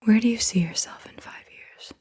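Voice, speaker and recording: A woman reading questions in a soft, breathy ASMR whisper, gentle and unhurried. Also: fairly high pitch. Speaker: ASMR host asking questions. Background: computer keyboard typing.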